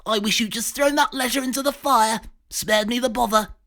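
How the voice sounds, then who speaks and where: A man voicing a nervous, genteel talking pig who speaks like a posh British gentleman, fussy and jittery. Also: very high-pitched, normal speed, voice pushed up, full character voice. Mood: grumbling.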